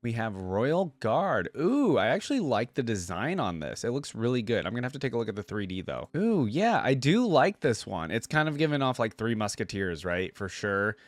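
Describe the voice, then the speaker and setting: A man's voice: medium pitch, very animated, normal speed, hardly any pauses, dry recording. A man hosting a reaction video with casual commentary, slangy and informal, thinking aloud as he goes.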